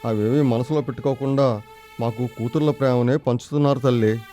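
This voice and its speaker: A man acts out a poor, remorseful older husband in a moral tale. His voice is mid-pitched and very mellow, sorrowful and apologetic, over music. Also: steady tone, a little fast, close to an everyday voice. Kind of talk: moral story character dialogue.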